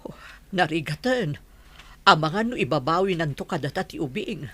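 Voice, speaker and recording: A doubtful, anxious wife, acted by a woman in an audio drama. Her husky voice moves up and down a lot as she questions. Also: low voice, animated, normal speed. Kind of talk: Ilocano audio drama acting.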